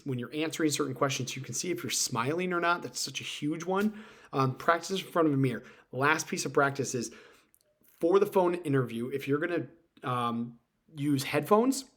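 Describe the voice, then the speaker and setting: A man giving informal instructional advice as a video host, speaking emphatically and repeating his key points. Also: medium pitch. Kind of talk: informal instructional talk to camera.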